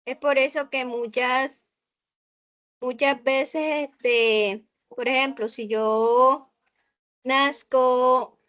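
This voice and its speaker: A young Colombian woman student answering on a video call, halting with frequent fillers and restarts. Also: sits high, fairly even intonation, fairly bright tone, lo-fi sound. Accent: Colombian Spanish. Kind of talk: hesitant class answer.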